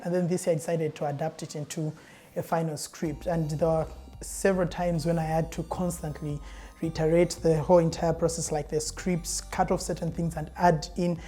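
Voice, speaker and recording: A young woman talks in a low, dark, mellow voice. She is earnest and thoughtful as she describes her writing work, with soft music behind her. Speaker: student playwright. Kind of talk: interview.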